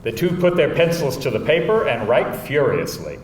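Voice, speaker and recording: A man narrates stage directions with lively, varied intonation in a mid-pitched voice. He sounds a little way from the mic in a very roomy space. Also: normal speed. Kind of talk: scripted narration at live table read. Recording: distant mic, roomy hall, faint rumble.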